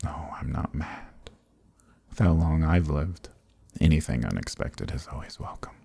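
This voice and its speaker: A man voicing an ancient archdemon who is smug, amused and condescending, in a breathy, murmured dark fantasy ASMR roleplay with teasing, leisurely politeness. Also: very deep voice, big pitch swings, unhurried, no echo, exaggerated voice. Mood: amused, menacing.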